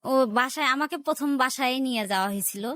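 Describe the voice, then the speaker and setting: A woman's voice: high-pitched, fast, a bit bright, no room sound. A woman with a Bangladeshi accent telling a personal life story as an interview guest, relaying remembered conversations in quoted dialogue. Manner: recounts events in quoted back-and-forth dialogue.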